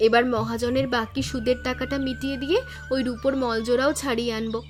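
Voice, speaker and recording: Woman playing a high-voiced dutiful young daughter-in-law. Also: level tone, fast, natural voice. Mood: reassuring. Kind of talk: cartoon voice acting.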